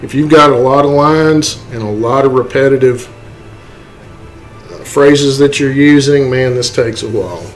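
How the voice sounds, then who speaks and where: A man giving a casual, step-by-step software walkthrough, informally checking in with okay and right as he goes. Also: mid-range voice, unhurried, soft background music, poor audio. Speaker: tutorial presenter. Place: indoors, voice-over for a screen recording.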